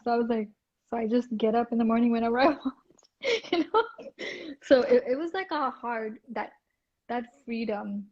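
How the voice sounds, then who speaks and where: Woman, from India, soft voice, halting restarts, interview guest. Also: fairly high pitch. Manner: hesitant, many restarts. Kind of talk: casual interview chat. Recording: dull lo-fi audio.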